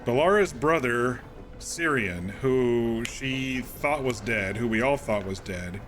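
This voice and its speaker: A man streaming a game, casually recapping events in a calm, rambling way with many fillers and restarts. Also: mid-range voice, slow pace, over music.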